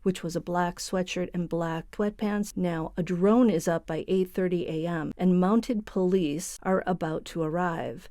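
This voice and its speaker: A woman's voice: lowish voice, vocal fry, warm tone, no room sound, clean studio sound. A woman hosting a true crime show, narrating and commenting on events.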